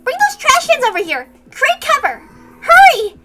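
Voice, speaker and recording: A woman's voice: very high voice, huge pitch swings, moderate pace, loud music behind the voice, pitched above the performer's own voice, full character voice. A woman voicing an urgent young fugitive giving orders in a horror story reading. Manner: commanding, urgent.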